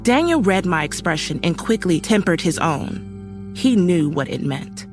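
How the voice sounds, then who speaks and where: A woman's voice: on the low side, animated, unhurried. A woman performing suspenseful first-person horror narration as an uneasy young woman recounting a horrifying night. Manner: serious, suspenseful storytelling. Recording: music faintly under the voice.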